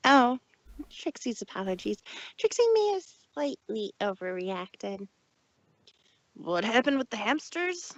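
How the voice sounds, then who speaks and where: A woman voices a vain, showy cartoon pony magician with a fairly high voice. She is theatrical and emphatic, captured dry and close with a slightly dull sound. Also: big pitch swings, measured pace, no room sound. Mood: sheepish. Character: vain, theatrical female pony magician, speaks in third person. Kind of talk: fan fiction dramatic reading.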